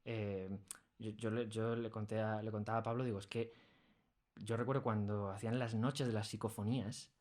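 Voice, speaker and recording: A man's voice: fairly low pitch, little pitch movement, moderate pace, darkish tone. A man with an accent from Spain chatting casually as a studio interview guest, rambling enthusiastically. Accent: Spanish from Spain. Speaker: interview guest, audio drama creator. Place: recording studio.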